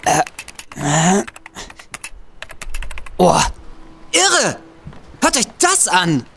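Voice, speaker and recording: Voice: mid-range voice, wildly swooping pitch, very slow, a bit bright, slight background noise, heavily acted. An audio-drama performer playing a boastful, geeky student hacker, an eager and cocky explainer. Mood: excited. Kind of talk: German audio drama acting.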